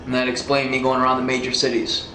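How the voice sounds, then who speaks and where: A man being interrogated as a suspect, giving halting, often short answers in a recorded police interview. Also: medium pitch, completely flat delivery. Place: indoor police interview room. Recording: echoey room with background noise.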